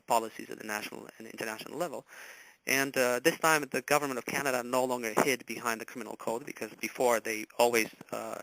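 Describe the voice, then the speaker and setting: A man in his forties, from Romania, recounting a personal story as an interview guest, halting and full of filler words. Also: mid-range voice, vocal fry, bright, forceful tone, dry recording, low-quality recording. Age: 40s.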